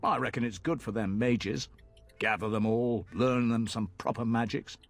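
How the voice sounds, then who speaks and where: A man's voice: medium pitch, moderate pace, lightly acted. An actor playing a plain-spoken, folksy commoner ferryman in a fantasy video game, chatting in rustic, colloquial speech. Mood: earnest, respectful.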